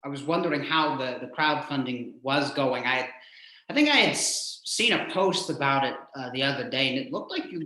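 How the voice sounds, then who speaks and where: A man hosting a casual interview, talking in a halting, rambling way and repeating words as he goes. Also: medium pitch, expressive, pushed and bright. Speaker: show host, interviewer. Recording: echoey room sound.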